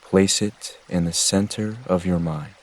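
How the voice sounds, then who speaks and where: Slow, low-voiced man guiding meditation. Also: steady tone. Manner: gentle, measured, soothing instruction. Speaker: meditation guide. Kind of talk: guided meditation narration.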